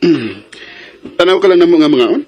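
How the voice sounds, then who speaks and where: A man's voice: a little high, expressive, normal speed, warm tone, muffled recording, natural voice. A man acting in a radio drama as a protective, easily irritated young suitor, with curt, challenging replies and courtesy toward women. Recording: noisy radio drama recording.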